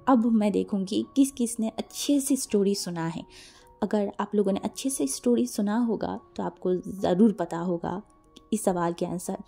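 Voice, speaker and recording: An Indian woman with a hoarse, cold-affected voice tells a story casually and chattily, mixing Hindi with English and repeating words for emphasis. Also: medium pitch, warm tone. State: sore throat from a cold. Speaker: storyteller, channel host. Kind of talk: casual spoken fan fiction narration.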